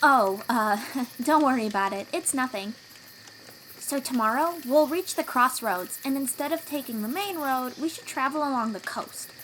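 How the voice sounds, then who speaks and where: Woman voicing a high-voiced young sea-dweller warrior. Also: moderate pace, slight background noise, poor audio. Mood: casual, reassuring. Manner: encouraging, instructive, gently teasing. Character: young female sea-dweller warrior, protective, teasing, encouraging. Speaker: voice actor in audio roleplay. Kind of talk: audio roleplay acting addressed to the listener.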